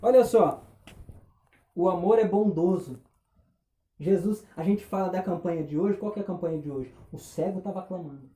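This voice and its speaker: A man preaching a sermon, impassioned, firing rhetorical questions and dropping between loud and hushed. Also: sits high, moderate pace, roomy sound. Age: 20s.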